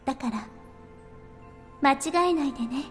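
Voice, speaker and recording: A gentle young woman from a visual novel, played by an actress, high-voiced and reassuring. She speaks earnestly and briskly, with music playing behind her. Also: lightly acted. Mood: tender, reassuring. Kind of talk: Japanese visual novel voice acting.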